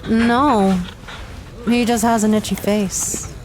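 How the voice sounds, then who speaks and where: A woman's voice: fairly high pitch, fairly even intonation, slow, background noise. A woman playing a tough-talking, wry 1930s mercenary adventuress in an audio drama. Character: hard-bitten, sardonic 1930s adventuress mercenary for hire. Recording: music behind the voice.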